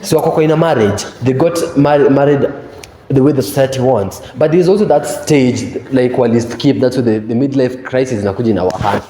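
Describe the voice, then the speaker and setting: A man's voice: mid-range voice, fairly quick, mellow, reverberant, in a large hall, low-quality recording. A man in his twenties with a Kenyan accent, hosting a casual panel chat and mixing Swahili slang into his English. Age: 20s. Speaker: host.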